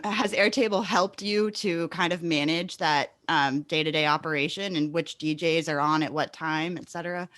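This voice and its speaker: A woman's voice: fairly low pitch, fairly bright tone, dry recording. A woman hosting a casual podcast interview in an enthusiastic, affirming manner. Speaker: podcast host interviewing a guest.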